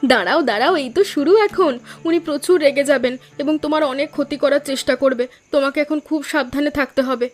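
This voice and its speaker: A woman's voice: sits high, fairly quick, hardly any pauses, faint music behind, close to an everyday voice. A woman voicing a caring village wife in a cartoon, with an attentive, coaxing way of talking. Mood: concerned. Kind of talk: Bengali cartoon voice acting.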